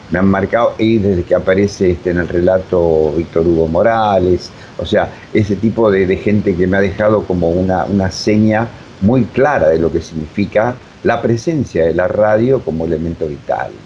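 A man in his seventies with a Rioplatense accent and a fairly low voice. He reminisces in a rambling way, full of digressions and filler phrases. The recording is dull, with faint rumbling noise. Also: warm tone. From Uruguay. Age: 70s. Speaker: interview guest, voice technique teacher. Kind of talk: reminiscing interview talk.

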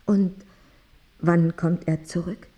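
A woman's voice: lowish voice, flat delivery, slow, warm tone. An actress playing an anxious, remorseful mother in a radio drama, speaking hesitantly. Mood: shaken, uncertain. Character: anxious, remorseful mother who left her son long ago.

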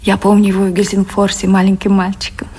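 Actress as a tender, husky-voiced devoted woman. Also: mid-range voice, completely flat delivery, fast talker, warm tone, lightly acted. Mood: fond, reminiscing. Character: tender, wistful, devoted woman, admiral's beloved. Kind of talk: Russian radio drama acting.

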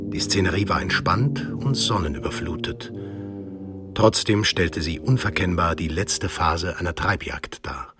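An actor playing the narrator of a radio drama, telling a thriller in a measured, literary way. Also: male voice, sits low. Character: measured, observant male storyteller of a thriller. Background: music.

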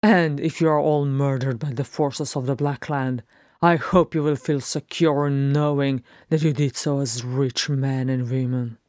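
A humorless storybook crown prince, read by a narrator, severe and sarcastic. He speaks flatly and slowly, with a dry, close sound. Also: mid-range voice, dry recording, close to an everyday voice.

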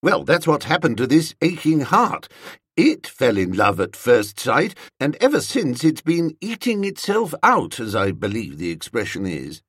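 A man playing an earnest, foppish young upper-class British gentleman in a comic audiobook, slangy, emphatic and hesitant. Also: mid-range voice, huge pitch swings, unhurried, husky, dry recording, studio quality, pitched above the performer's own voice, full character voice. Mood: eager.

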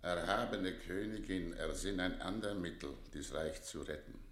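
An actor playing a frail old earl who counsels royalty, solemn, emphatic and pleading, in a classical radio play. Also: male voice, deep voice, normal speed, a bit bright, reverberant. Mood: urgent pleading. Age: older.

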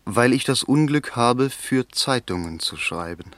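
An actor in a German radio play voicing a melancholy, lovesick young poet in a fervent, declamatory manner. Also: male voice, medium pitch, animated, a little slow, fairly bright tone, no room sound. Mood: bitter, resigned.

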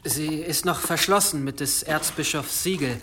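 A man's voice: on the high side, moderate pace, background noise, natural voice. An actor playing a meek, formal male servant to a bishop in a radio drama, careful and hesitant. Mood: deferential, nervous. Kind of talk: German radio drama acting.

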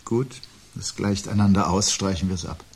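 A weary man of intellect in a seventies radio play. His voice is very deep and husky. He weighs his phrases carefully, resigned and a little pedantic. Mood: resigned, reflective. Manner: measured, reflective, pedantic phrasing. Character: weary, resigned intellectual husband facing the end. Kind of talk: 1970s German radio play dialogue.

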